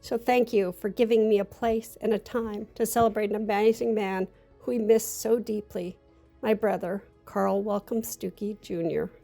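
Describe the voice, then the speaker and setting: A woman's voice: mid-range voice, steady tone, normal speed, vocal fry, dark tone, soft background music. A woman reading a prepared memorial tribute to a lost sibling. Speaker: bereaved sister giving a eulogy.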